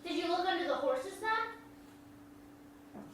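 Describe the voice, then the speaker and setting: Really high voiced little country girl, earnest and fierce, far off in an echoey hall. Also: lively intonation, slow, fairly bright tone, exaggerated voice. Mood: curious. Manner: rustic dialect, polite. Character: fierce, earnest young country girl pleading for her father. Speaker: actor in a staged reading. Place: large echoey room at a live reading. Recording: echoey hall, distant mic, faint noise.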